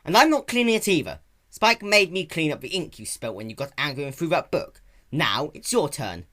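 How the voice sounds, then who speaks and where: A cheeky, defensive little boy dragon from a storybook reading, acted out by a man. The voice is pushed higher and louder, jumping around in pitch with snappy emphasis. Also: expressive, fairly quick. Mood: cross. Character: Spike, teasing defensive young male baby dragon.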